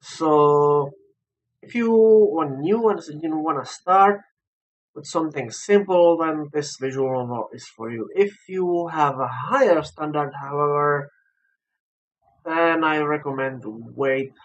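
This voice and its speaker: A man who is not a native English speaker gives a casual, unscripted game review, haltingly, often repeating and rephrasing himself. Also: a little high, lo-fi sound.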